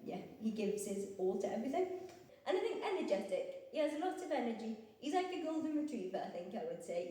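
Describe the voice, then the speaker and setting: Young woman, mellow higher voice, chatty with lots of ums, recorded at a distance in a roomy space. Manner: chatty, frequent ums, self-deprecating. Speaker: interviewee answering couple questions. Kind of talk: casual interview answers. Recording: echoey room, mic far off.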